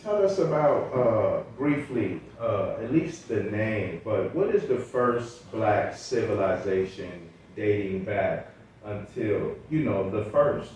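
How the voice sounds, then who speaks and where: A man's voice: fairly low pitch, expressive, slow pace, darkish tone. A man hosting a podcast, asking questions in a casual, conversational way. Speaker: podcast host, interviewer. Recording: very echoey room, distant mic, faint noise.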